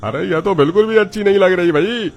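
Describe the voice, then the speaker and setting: A man voicing an excitable, complaining male street-food customer in a cartoon, exclamatory and indignant. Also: sits high, fairly quick, slight background noise, voice pushed up. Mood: doubtful, complaining.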